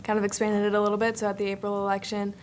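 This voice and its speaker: A woman's voice: mid-range voice, monotone, very fast, poor audio. A teenage young woman giving an informal group presentation as a student club member, in a chatty, upbeat way. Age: teens. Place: indoor school board meeting room. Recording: faint room noise, public meeting.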